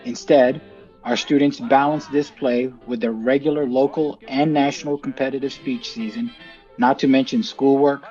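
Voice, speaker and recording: A man presents a scripted introduction in a mid-pitched voice, measured and articulate. Soft music sits behind him. The sound is a little dull. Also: fairly even intonation. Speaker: team representative introducing the show.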